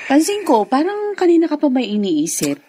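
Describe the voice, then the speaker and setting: An actress playing a soft-hearted, anxious wife and mother in a Filipino radio drama, tender and hesitant. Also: high-pitched, huge pitch swings, moderate pace. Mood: affectionate.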